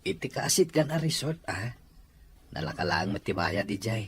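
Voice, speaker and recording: A man's voice: fairly low pitch, very animated, normal speed, fairly bright tone, a little hiss or hum. A man playing a hardworking, exasperated husband and father in an audio drama, sounding weary and defensive. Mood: casual. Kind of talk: amateur audio drama dialogue.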